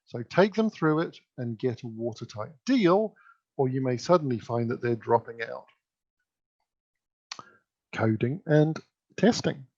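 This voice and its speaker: A man's voice: medium pitch, darkish tone. A man giving an instructive, emphatic conference keynote presentation. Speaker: keynote speaker. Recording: dull lo-fi sound.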